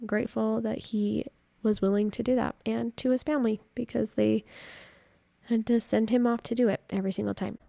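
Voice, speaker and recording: A woman hosting a podcast from her home, chatty and upbeat, her voice a little raspy after a cold. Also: a little high, level tone, fairly quick, warm tone, no echo. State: recovering from a cold. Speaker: podcast host. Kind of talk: casual podcast chat.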